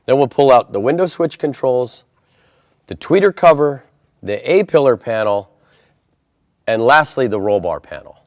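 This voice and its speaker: A man's voice: medium pitch, expressive, normal speed, long pauses, dry recording, clean studio sound. An American man presenting a step-by-step installation tutorial in an instructional style.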